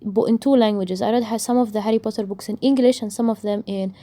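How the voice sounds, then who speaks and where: A woman's voice: medium pitch, steady tone, quick pace, mellow. A young woman, a native Arabic speaker, ranting casually about books she read, with a rambling, sarcastic delivery and many restarts. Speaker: book vlogger. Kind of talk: casual book rant.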